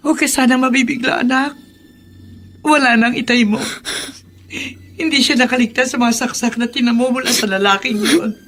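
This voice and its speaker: A woman playing a gentle provincial mother, soothing and careful, in a Philippine radio drama. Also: on the high side, fairly even intonation, normal speed. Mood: sorrowful consoling. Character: gentle, consoling middle-aged provincial mother.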